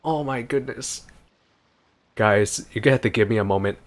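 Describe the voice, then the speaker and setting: A man's voice: medium pitch, moderate pace. A man giving casual, exclamatory gameplay commentary, informally thinking aloud as he plays. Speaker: gaming video creator.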